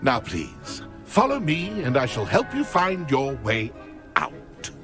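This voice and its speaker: A lordly British ghost knight from a video game, jovial but haughty. He draws his words out slowly with huge, mocking swings of pitch, over clearly audible music. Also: huge pitch swings, heavily acted. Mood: smug, dismissive. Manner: pompous, theatrical, drawn-out delivery. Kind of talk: video game character acting.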